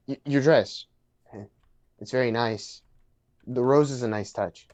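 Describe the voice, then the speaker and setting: A man's voice: mid-range voice, takes it slowly, darkish tone. A man playing an awkward, chatty young man in a casual dramatic reading, hesitant and stammering. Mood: nervous, polite. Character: awkward, chatty young man named Bartram on a blind date.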